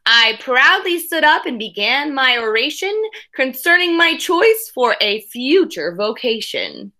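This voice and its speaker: A woman in her thirties reading a picture book aloud to young children with expressive emphasis and casual asides, in a room at home. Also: high voice, a little slow. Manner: expressive, exaggerated storytelling emphasis. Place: small room at home, indoors.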